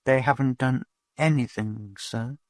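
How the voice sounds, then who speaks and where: A man's voice: mid-range voice, animated, measured pace, warm tone, no echo, clean studio sound, voice pushed up. A man voicing a male patrol robot that reasons in circles, repeating itself over and over. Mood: looping. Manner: repetitive, looping reasoning. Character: male patrol robot caught in a logic loop.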